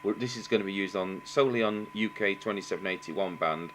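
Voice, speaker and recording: Low-voiced Englishman, halting, over humming noise. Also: a bit bright. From England. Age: middle-aged. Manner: halting, thinking aloud. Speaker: radio engineer demonstrating repairs. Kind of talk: unscripted technical demonstration. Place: indoor workbench, voice off camera. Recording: noticeable background noise with hum.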